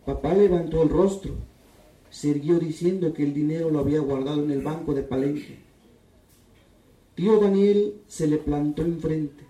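Man with a dark voice, reading from far off. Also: fairly high pitch, moderate pace. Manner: calm, steady reading voice. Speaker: writer reading his own text. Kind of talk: literary reading at a public event. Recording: echoey room, distant mic.

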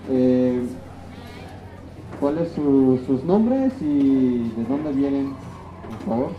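A man's voice: mid-range voice, extremely slow. A man asking polite, hesitant questions as an interviewer. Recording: dull, echoey, noisy space with crowd.

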